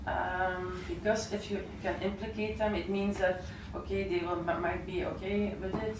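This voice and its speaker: A woman's voice: medium pitch, little pitch movement, moderate pace. A woman activist speaking at a discussion after a film, hesitant and often repeating words as she restarts. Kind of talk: casual panel discussion. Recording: echoey room, mic far off, noisy.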